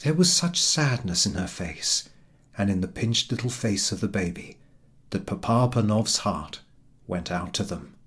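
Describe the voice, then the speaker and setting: A man narrating a storybook in an unhurried, dramatic, expressive manner, with a soft husky voice. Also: on the low side.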